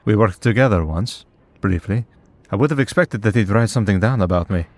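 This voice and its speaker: Man playing wry, low-voiced immortal vampire hunter. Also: a little fast, no room sound. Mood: measured, wry. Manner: wry, conversational, dryly exasperated. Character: centuries-old male vampire hunter in priest's collar, wry, patient. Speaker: voice actor. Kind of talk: scripted ASMR roleplay.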